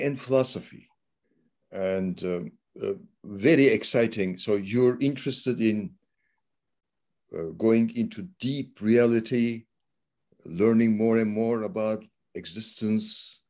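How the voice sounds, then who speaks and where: Brief: male interviewer, slow and halting, dark mellow voice, many pauses. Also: lowish voice. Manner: hesitant, pausing often with "uh".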